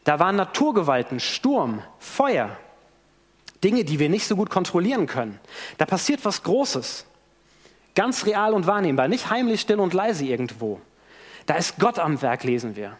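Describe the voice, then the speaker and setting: A man with a fairly high voice gives a sermon in a church. His pitch rises and falls a lot as he tells the story and acts out the quotes. A long reverb hangs behind him in the hall. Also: moderate pace. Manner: animated storytelling with acted-out quotes. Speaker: preacher.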